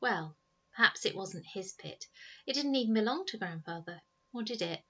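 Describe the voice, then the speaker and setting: Bright-voiced woman reading a storybook, slightly lo-fi. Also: mid-range voice, lively intonation, normal speed. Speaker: teacher reading to pupils. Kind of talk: expressive storybook narration.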